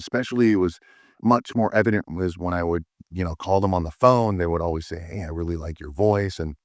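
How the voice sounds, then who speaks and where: A man with a deep voice giving dating advice straight to the camera, emphatic and often checking in with "all right?". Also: no room sound, studio quality. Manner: fillers and restarts. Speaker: dating coach. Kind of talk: direct-to-camera advice talk.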